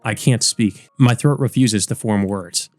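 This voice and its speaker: A man's voice: on the low side, a little slow, dry recording, faint music behind. A man reading a visual novel aloud as dramatic scripted narration. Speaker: let's play narrator.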